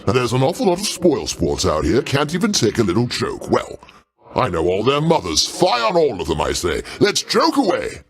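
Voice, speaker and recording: A man's voice: medium pitch, huge pitch swings, moderate pace. A male actor playing a pompous, jovial older king of the gods in a video game, grandiose and avuncular with genteel, old-fashioned phrasing. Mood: jovial, boastful. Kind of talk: theatrical video game character acting.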